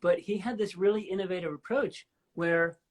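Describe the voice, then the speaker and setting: A woman in her fifties with a deep voice, hosting a casual live-stream chat and telling stories with enthusiasm. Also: steady tone, normal speed. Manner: enthusiastic, rambling storyteller. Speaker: host. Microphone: live stream from home.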